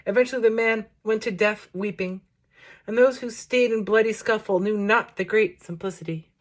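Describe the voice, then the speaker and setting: A woman reads verse aloud with a middle-pitched voice. She recites in a measured, declamatory way, setting out each short phrase on its own as a poetry reader would. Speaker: poetry reader introducing a book. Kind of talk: recited poetry reading with short spoken introduction.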